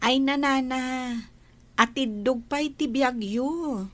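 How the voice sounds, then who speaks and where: A woman's voice: high-pitched, slow. A woman acting the part of a cheerful, sociable young single woman in an Ilocano radio drama. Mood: surprised.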